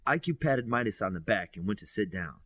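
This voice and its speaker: Husky man reading a story aloud. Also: fairly low pitch, animated, a little fast, a bit bright. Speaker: audiobook reader. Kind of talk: scripted narration of a superhero novel.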